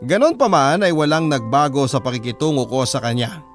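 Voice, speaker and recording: An actor playing an earnest boyfriend narrating his love story in a scripted radio drama, sincere, reflective and measured. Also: medium pitch, lively intonation, faint music behind, lightly acted. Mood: resigned, earnest.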